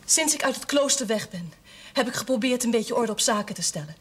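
A woman's voice: fairly high pitch, lively intonation, a little fast, husky. An actress playing an earnest young former novice burdened with her family's business, in a television period drama. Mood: earnest, confiding.

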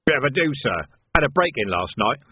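A man's voice: mid-range voice, animated, normal speed, slightly rough, no room sound, narrow, tinny audio. A man playing a smug, sly police sergeant in an audiobook, insinuating and falsely earnest. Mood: smug, mock-innocent. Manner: insinuating, mock-innocent. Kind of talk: audiobook character acting.

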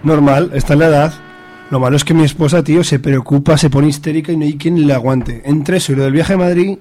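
A man's voice: fairly high pitch, steady tone, fast talker, darkish tone, natural voice. An actor playing a blustering middle-aged conservative father from Spain in a radio soap, in colloquial, ranting speech. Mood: exasperated. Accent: Spanish, from Spain. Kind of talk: radio soap opera dialogue. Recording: clear background noise.

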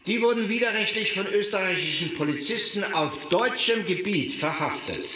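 An actor playing a stern German chancellor in a radio drama, delivering an emphatic official statement. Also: male voice, fairly high pitch, sharp tone, echoey room, a little hiss or hum.

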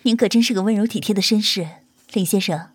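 A woman's voice: medium pitch, fast, husky, no room sound, natural voice. A woman playing a courteous, protective celebrity manager in an audio drama, with a formal, polite and composed manner. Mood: apologetic, polite.

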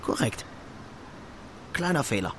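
Male reader as deadpan state trooper, mid-pitched, quiet, stiffly polite, audiobook role, noisy background. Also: takes it slowly. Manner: formal, deadpan, subdued.

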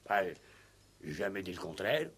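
An actor playing a simple, stubborn village farmhand in a radio play, defensive and blunt, with hesitant, ungrammatical country speech. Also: male voice, low voice, big pitch swings, normal speed, a bit bright, husky, heavily acted. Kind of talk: 1960s French radio play acting.

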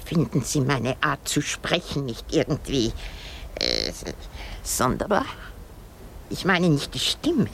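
A woman's voice: extremely low pitch, huge pitch swings, slow pace, gravelly, long pauses, slight background noise, full character voice. An actress playing an old, self-pitying, hysterical married woman in a radio drama, rambling theatrically with sighs and exclamations. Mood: musing, uneasy. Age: older.

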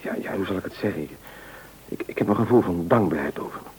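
An actor playing a grateful, relieved young family man in a radio play, speaking haltingly and sincerely. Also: low voice, a little mellow, natural voice. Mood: moved, grateful. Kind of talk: mid-century radio play acting. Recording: noisy old radio drama recording.

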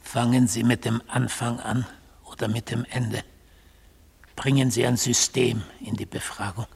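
An actor playing an old, sardonic, unrepentant god on trial in a literary radio play, speaking deliberately and with irony. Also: male voice, mid-range voice, little pitch movement, full character voice. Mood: sardonic, proud. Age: older. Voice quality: husky, gravelly old voice. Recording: reverberant 1970s radio drama studio.

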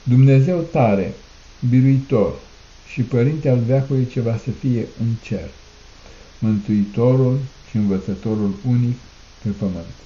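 A man reciting a solemn liturgical prayer in a declamatory manner, in measured phrases with repeated refrains. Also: medium pitch, dark tone. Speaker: prayer reader. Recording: muffled, echoey room recording.

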